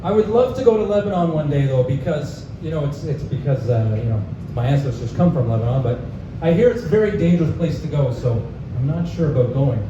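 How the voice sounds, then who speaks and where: A man's voice: fairly high pitch, expressive, brisk. Chuckling young male stand-up comic. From Canada. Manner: casual, chuckles at his own jokes, frequent "uh" fillers. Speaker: stand-up comedian. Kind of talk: live stand-up set. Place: pub comedy room, live audience. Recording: echoey bar room, distant mic, dull sound, noisy.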